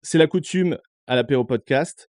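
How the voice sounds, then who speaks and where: A man from northern France hosting a podcast, asking questions in a relaxed way with lots of fillers. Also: mid-range voice, normal speed, no echo, studio quality. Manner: relaxed, filler-heavy interviewer. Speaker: podcast host. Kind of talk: casual interview.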